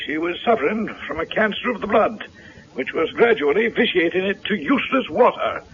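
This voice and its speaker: Casting: condescending physician, high-pitched for a man, pompous and precise, vintage radio drama sound. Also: lively intonation, brisk. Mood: defensive, pompous. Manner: pompous, precise, long-winded formal diction. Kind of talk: 1970s radio drama acting. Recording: dull lo-fi vintage radio recording.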